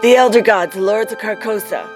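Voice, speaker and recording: A woman's voice: mid-range voice, brisk, soft background music, close to an everyday voice. A woman playing a sly, coaxing witch who bargains unhurriedly in an audio drama. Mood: coaxing, sinister.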